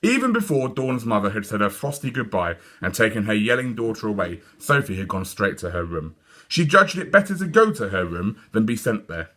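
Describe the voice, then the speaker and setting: A man with a British accent narrating a children's story in a deliberate, expressive storytelling manner. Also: on the low side. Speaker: story reader.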